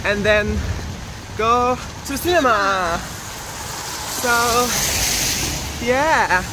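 A man in his twenties chatting casually into a handheld camera in a student flat, giggly and self-mocking. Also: extremely high pitch, drawn-out words, noisy background. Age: 20s. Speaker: vlogger. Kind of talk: casual vlog chat. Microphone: handheld action camera. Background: background music.

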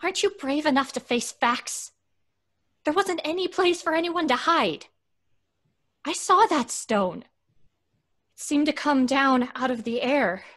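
A woman's voice: high-pitched, expressive, unhurried, long pauses, a bit bright. A woman playing an earnest, fearful young woman in an old-time radio drama, speaking with dramatic insistence. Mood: grave, haunted.

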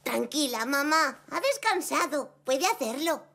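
A performer voicing an excited, curious little zebra child in a children's cartoon dub, with an eager, bubbly manner. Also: sits high, measured pace, vocal fry, slight background noise, exaggerated voice. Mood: eager, reassuring. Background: children's music.

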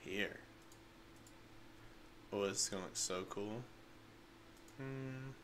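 A man's voice: fairly low pitch, slow pace, fairly bright tone, slight background noise. A congested man rambling through casual, unedited gameplay commentary and talking to his chat. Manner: rambling, chatty, addresses chat as guys. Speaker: gaming creator.

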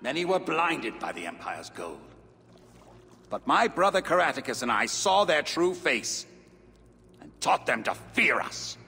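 Ghost warrior king, game: proud, grave, slow delivery, bright gravelly tone. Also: fairly high pitch, very animated, in a large hall, heavily acted. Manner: grave, boastful declamation. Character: proud defiant ancient British king ghost.

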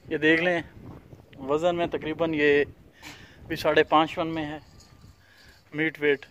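A man's voice: a little high, little pitch movement, slow, a bit bright, dull audio, a little hiss or hum. A man who owns a cattle farm, casually showing animals for sale outdoors in a dusty farmyard, pointing out each one's features plainly and repetitively. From Pakistan. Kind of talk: casual walkthrough vlog.

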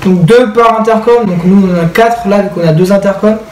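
A man's voice: sits high, a little fast, slight background noise. A young man giving a casual product tutorial, informal and talking straight to the viewers. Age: young adult. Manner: informal, addressing viewers directly. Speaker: video creator and presenter. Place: indoors in a home room.

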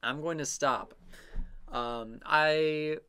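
Slow-paced man, casual art commentary. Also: on the high side, bright, forceful tone, clear, resonant tone. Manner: rambling, self-amused, trailing musings. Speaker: illustrator narrating own drawing process.

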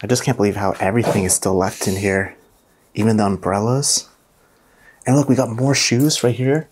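A young man vlogging casually as he walks through an abandoned mansion, chatty and excitable. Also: fairly low pitch, normal speed, background noise. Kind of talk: casual walkthrough vlog. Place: indoors in an abandoned house.

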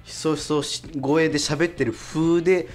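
A man with a Kansai accent chatting casually as a radio co-host, telling self-deprecating stories in an animated way. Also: a little high, normal speed, soft background music. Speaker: radio show co-host and stage actor. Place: radio studio.

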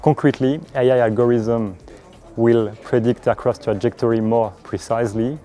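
Male expert, forties, dark soft tone, explanatory interview delivery over background music. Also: mid-range voice, fairly even intonation, moderate pace. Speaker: air traffic control expert interviewee. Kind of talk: explanatory corporate video interview.

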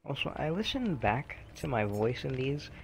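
A young man chatting casually over gameplay, rambling and self-deprecating, with a low, slightly raspy voice he keeps quiet on purpose. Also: big pitch swings, moderate pace, slight background noise, low-quality recording. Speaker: gaming commentator. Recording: game music underneath.

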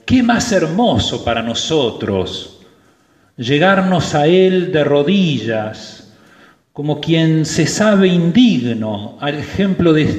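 A priest preaching a sermon at Mass, slow and emphatic, stressing key words. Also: male voice, fairly high pitch, a little mellow. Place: church. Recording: roomy church with long reverb.

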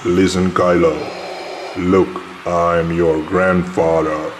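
A man playing a pompous, commanding masked dark-lord grandfather in a cartoon parody. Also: deep voice, little pitch movement, takes it slowly, over music. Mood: imperious. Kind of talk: cartoon parody character acting. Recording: loud background noise.

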